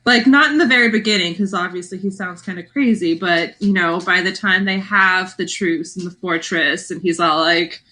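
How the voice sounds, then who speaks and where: A woman's voice: fairly low pitch, steady tone, moderate pace, few pauses, pushed and bright. A woman co-hosting a podcast in a casual book discussion, acting out quotes as she retells them. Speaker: podcast co-host.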